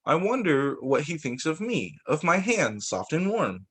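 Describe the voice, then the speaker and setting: A man reading storybooks aloud as a teacher for young children, in an expressive way with the occasional stumble and re-read phrase. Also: on the high side, unhurried, husky.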